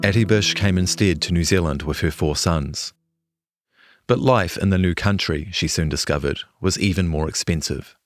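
A man's voice: low voice, moderate pace, no room sound, soft background music. A man reading a scripted longform news feature as a journalist narrator.

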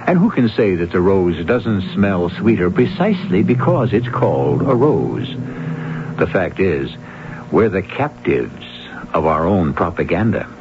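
A man hosting a radio mystery series with theatrical scripted narration, dramatic, literary and deliberate, on an old broadcast recording. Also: low-pitched, big pitch swings, lo-fi sound, noisy background.

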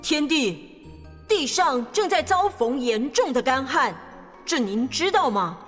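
A woman voicing a small, polite, earnest toad in a children's storybook tale, speaking deliberately. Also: high-pitched, big pitch swings, pitched above the performer's own voice, exaggerated voice. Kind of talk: children's storybook character acting. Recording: long hall reverb over music.

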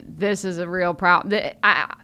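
A woman's voice: lowish voice, steady tone, moderate pace, bright, forceful tone. A woman playing a folksy, easygoing backwoods elf, bantering in mock-serious improvised comedy. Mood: earnest, playful. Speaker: podcast co-host.

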